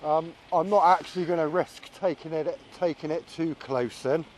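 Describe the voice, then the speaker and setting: A man's voice: fairly high pitch, fairly even intonation, moderate pace, darkish tone, a little hiss or hum. A man giving casual, musing running commentary as a vlogger flying a drone, thinking aloud as he goes. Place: outdoors.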